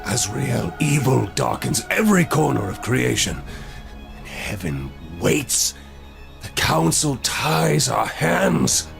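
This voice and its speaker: A man voicing a zealous angel leader in a video game, slow, forceful and persuasive. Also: mid-range voice, very animated, creaky, soft background music, full character voice. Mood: resolute. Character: zealous commanding male angel leader, proud. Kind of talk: video game cutscene acting. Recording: game score under the voice.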